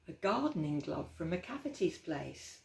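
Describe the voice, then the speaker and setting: A woman reading a rhyming children's picture book aloud as a storytime host, with expressive, dramatic emphasis. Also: sits low, a little slow, gravelly, a little hiss or hum. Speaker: library storytime reader. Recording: roomy, echoey indoor sound.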